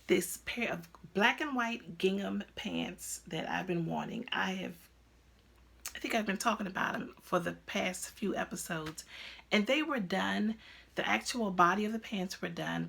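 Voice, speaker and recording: A woman's voice: mid-range voice, vocal fry, a bit bright, husky. A woman in her fifties hosting a crafting podcast, chatting casually at home with lots of ums and restarts. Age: 50s. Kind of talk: casual chat to camera. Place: at home, indoors.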